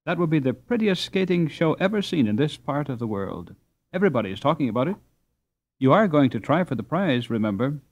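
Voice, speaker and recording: A man's voice: on the high side, sing-song, brisk. A man voicing a proud, frank, well-to-do teenage boy in a storybook, speaking with emphasis. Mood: friendly.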